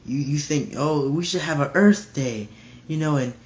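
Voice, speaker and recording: A man with a stuffy nose casually reading Bible verses aloud and explaining them in slangy, animated commentary. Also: fairly high pitch, normal speed. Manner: animated, slangy, acts out voices.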